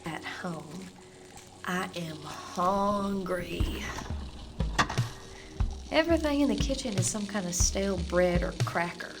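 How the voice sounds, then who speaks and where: A woman acting a radio play monologue as a dreamy, unsettled woman obsessed, intimate and confessional, often slipping into breathy whispers. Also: medium pitch, slow, long pauses, natural voice, rough recording. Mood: feverish, entranced. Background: music and sound design.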